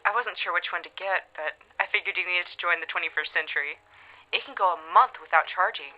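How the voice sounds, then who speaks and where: A woman playing an affectionate, gently teasing wife in an audio drama, with a light, encouraging manner. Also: lowish voice, brisk, dull audio, lightly acted. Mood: affectionate, upbeat. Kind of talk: modern audio drama acting.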